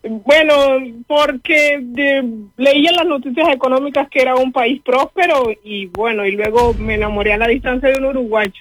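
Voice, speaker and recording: A woman's voice: sits high, moderate pace, rough recording. A woman in her thirties with a Colombian accent, chatting casually and politely as a caller being interviewed. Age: 30s. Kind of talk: casual chat.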